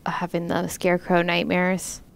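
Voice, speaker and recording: Slow, lowish casual woman commentator. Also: monotone, gravelly. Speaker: reaction show host.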